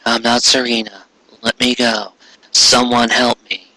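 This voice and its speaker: A man voicing a flatly unimpressed new schoolgirl in a slow, deadpan manner. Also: medium pitch, no room sound, pitched below the performer's own voice, rough recording.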